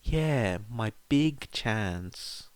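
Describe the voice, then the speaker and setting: A man's voice: fairly low pitch, expressive, very slow, smooth, dry recording. A man voicing a clever, earnest teenage witch who argues matter-of-factly in an audiobook story. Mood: hesitant.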